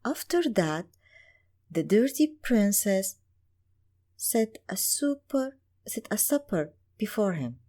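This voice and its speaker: A woman's voice: medium pitch, very animated, slow pace, mellow. A woman narrating a scripted bedtime story for children as a storyteller. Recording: music playing behind. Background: music.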